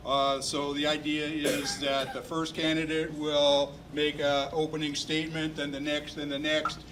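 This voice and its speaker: A man's voice: fairly high pitch, little pitch movement, a little slow. A man making announcements at a neighborhood forum, talking plainly and steadily with some fillers, in a community meeting room. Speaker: neighborhood liaison introducing a candidate forum. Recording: distant room recording, faint noise.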